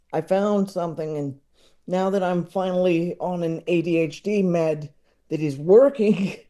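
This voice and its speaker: A man talking casually as a podcast guest, halting and self-deprecating but enthusiastic, with chuckles slipping into his speech. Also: high-pitched, mellow.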